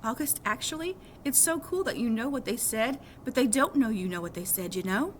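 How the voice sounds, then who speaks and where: Woman voicing an emphatic, high-pitched teenage big sister. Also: animated, fairly quick, close to an everyday voice. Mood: coaxing. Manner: emphatic, persuasive, exasperated. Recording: clearly audible background noise.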